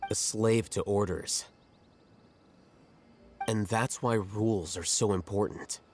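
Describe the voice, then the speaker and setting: A man voicing an aloof, blunt young scholar in an anime game, speaking in a measured, matter-of-fact and dismissive way. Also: lowish voice, fairly even intonation. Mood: coolly explaining.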